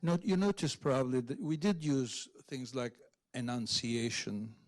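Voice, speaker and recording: A man speaks as a literary translator explaining a text. His pitch is average and his pace is very slow and halting. The delivery is scholarly and unscripted, pausing to check that listeners follow. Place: public library event before an audience.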